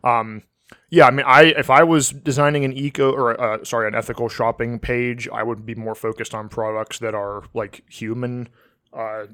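A man's voice: mid-range voice, flat delivery, normal speed, fairly bright tone, smooth, dry recording, clean studio sound. A man co-hosting a podcast in casual, unscripted chat, thinking aloud tentatively and leaning often on "like". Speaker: podcast co-host.

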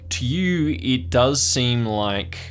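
A man's voice: mid-range voice, lively intonation, slow, slight background noise. A man improvising descriptive narration as game master of an audio drama, setting scenes in the second person. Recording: music playing behind the voice. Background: music.

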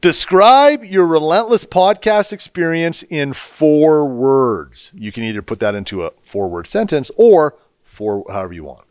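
A man hosting a casual interview, chatty and folksy, firing off quick questions and encouraging replies. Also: on the high side, sing-song, a little mellow, smooth, no room sound, clean studio sound. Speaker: podcast host.

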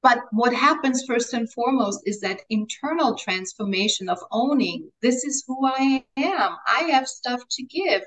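A middle-aged woman with an Austrian German accent, a native German speaker, talking as a life coach in a casual interview, animated, laughing often and repeating words as she starts her thoughts. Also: fairly high pitch, poor audio.

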